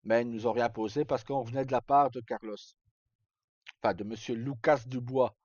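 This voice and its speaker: A man's voice: medium pitch, steady tone, normal speed, darkish tone. A man chatting casually to live viewers as a streamer, rambling and hesitant in colloquial speech with some swearing. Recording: dull lo-fi mic in echoey room.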